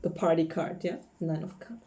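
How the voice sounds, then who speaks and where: Female tarot reader, warm dark tone, casual and giggly. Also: fairly low pitch, moderate pace, a little hiss or hum. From Poland. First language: Polish. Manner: chatty, laughs often, gropes for English words. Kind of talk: casual tarot reading to camera.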